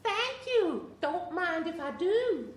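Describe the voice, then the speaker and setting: A sweet little girl, extremely high and squeaky, speaking very slowly and earnestly in a comic radio play. The room echoes around the voice. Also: big pitch swings, full character voice. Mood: wide-eyed. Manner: childlike, gushing. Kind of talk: comic horror radio acting. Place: small live venue with audience. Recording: roomy live stage recording, background noise.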